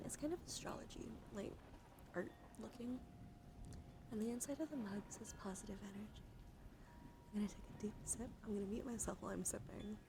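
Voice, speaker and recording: A woman hosting an ASMR livestream, speaking softly and deliberately, often slipping into whispers and gently repeating phrases. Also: medium pitch. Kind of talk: ASMR, slow soft talk to live chat. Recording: clearly audible background noise.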